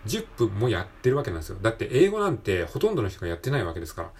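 A man's voice: lowish voice, big pitch swings, very fast, a little mellow, husky. A man hosting a solo podcast, talking casually and encouragingly, often checking in with the listener for agreement. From Japan. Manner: encouraging, conversational, repeatedly seeking agreement. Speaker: podcast host. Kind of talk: casual solo motivational talk.

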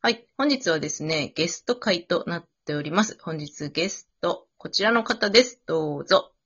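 A woman's voice: on the low side, expressive, moderate pace, a bit bright, breathy edge. A woman hosting a radio show, chatting casually in an after-show talk. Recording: dull lo-fi online audio.

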